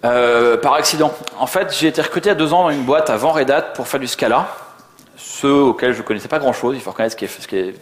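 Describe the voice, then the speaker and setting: A man's voice: mid-range voice, fast talker. A man giving an informal technical conference talk in a colloquial, self-deprecating, joking way. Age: middle-aged. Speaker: conference speaker. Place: indoor conference venue. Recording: echoey conference room.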